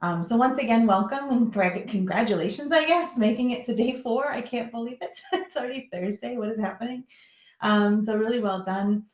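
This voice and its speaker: A woman's voice: on the high side, moderate pace, darkish tone, echoey room, lo-fi sound. A woman from Minnesota with a Minnesota American accent, giving an upbeat, chatty guest lecture over a video call. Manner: chatty, upbeat, laughs often. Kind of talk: online lecture.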